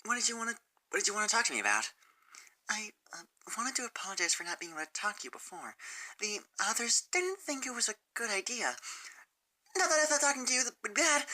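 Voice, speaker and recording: A woman voicing a timid, kind, soft-spoken teenage girl in a fan story reading, hesitant and gentle. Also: a little high, sing-song, fast, voice pushed up, full character voice. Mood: shy, hesitant.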